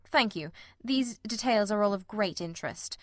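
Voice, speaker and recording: A woman voicing a shrewd, composed gentleman detective in a storybook, formal, measured and authoritative. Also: mid-range voice, no room sound, studio quality, close to an everyday voice. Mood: probing.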